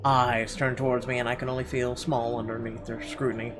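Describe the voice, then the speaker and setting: A man's voice: mid-range voice, little pitch movement, brisk. A man hosting a gaming video, reading visual novel narration dramatically and breaking into irreverent, sweary asides. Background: game music.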